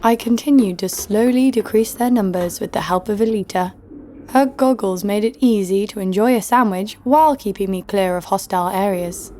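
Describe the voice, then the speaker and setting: A woman's voice: medium pitch, moderate pace, dark tone, a little hiss or hum, natural voice. An actress playing a tough, wry female adventurer who narrates her own story in a scripted audio drama. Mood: wry, tense. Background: music.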